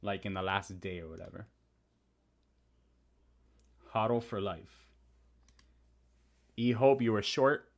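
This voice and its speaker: Low-voiced man chatting on a live stream. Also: takes it slowly, long pauses. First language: Spanish. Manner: chatty, reads and answers viewer comments; talks through trading charts. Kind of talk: casual live-stream chat.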